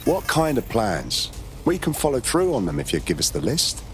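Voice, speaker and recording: A man playing a steady, consoling resistance operative in a video game, reassuring and understated. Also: medium pitch, moderate pace, background noise. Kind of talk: scripted video game voice acting.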